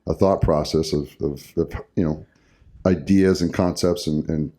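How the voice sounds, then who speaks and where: A man with a very deep, mellow voice chats on a podcast. He rambles, restarting sentences and filling pauses as he goes. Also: steady tone. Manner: rambling, many fillers and restarts. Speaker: podcast co-host, hockey coach. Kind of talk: casual podcast chat.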